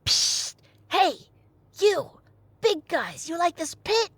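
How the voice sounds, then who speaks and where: A woman puts on a brash young god from a comic myth story. The pitch is high and swings about freely. The patter is chummy, slangy and conspiratorial, like a pushy persuader. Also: sing-song, slow, no echo, full character voice. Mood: sly, eager.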